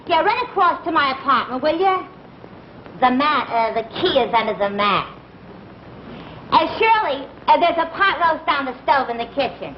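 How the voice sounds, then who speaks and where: A woman's voice: high-pitched, sing-song, normal speed, a little hiss or hum. An American actress playing a brassy, defiant female pickpocket in a 1950s comedy sketch. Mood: chatty. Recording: dull 1950s broadcast with audience.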